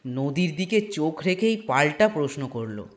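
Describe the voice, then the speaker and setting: High-pitched, slow-paced male storyteller. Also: sing-song. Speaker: story narrator. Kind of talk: scripted horror story narration. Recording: music bed behind voice.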